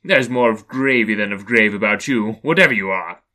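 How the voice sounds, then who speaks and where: A man's voice: fairly low pitch, normal speed, sharp tone. A man voicing a caustic, cold, sceptical old miser in a blustering, exclamatory manner for a storybook. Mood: nervous mockery.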